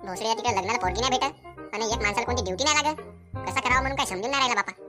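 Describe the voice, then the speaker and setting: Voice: medium pitch, very fast, close to an everyday voice. A performer voicing a crude, frustrated village bachelor in a comic cartoon, grumbling and swearing in rural Vidarbha Marathi slang. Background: music.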